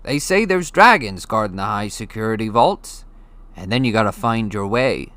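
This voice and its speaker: A man reading an audiobook, voicing a gruff, hearty, friendly giant with rough, folksy, blustery speech. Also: on the low side, lively intonation, normal speed, fairly bright tone, no echo. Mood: secretive, emphatic. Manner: folksy, blustery, dropped grammar. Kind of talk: audiobook character voice.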